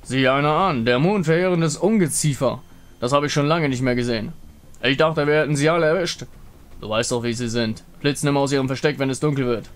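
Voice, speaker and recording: A man's voice: mid-range voice, fairly quick, natural voice. Man as a sneering zealot soldier. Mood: sneering contempt. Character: contemptuous zealot soldier, male, bullying.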